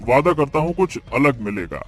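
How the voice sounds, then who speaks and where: A man's voice: medium pitch, sing-song, moderate pace. A man playing a troubled but eager small-eatery cook in a cartoon moral story, speaking expressively and emphatically. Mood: apologetic, earnest. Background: faint music.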